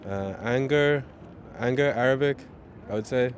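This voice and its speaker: A man's voice: medium pitch, a little slow, a little hiss or hum. A man giving terse, list-like answers in a street interview.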